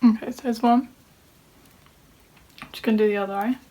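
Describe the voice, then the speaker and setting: A woman's voice: a little high, steady tone, normal speed, dark tone. A woman vlogger walking through a makeup tutorial in a casual chat, sounding slightly nasal from a cold. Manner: chatty, talks through each step. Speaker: beauty vlogger. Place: home room at a desk.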